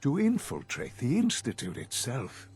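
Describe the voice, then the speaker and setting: A man playing an elderly scientist leader in a video game, paternal yet detached, speaking in measured, formal, halting phrases. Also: mid-range voice, wildly swooping pitch, a little mellow. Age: older. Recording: music behind the voice.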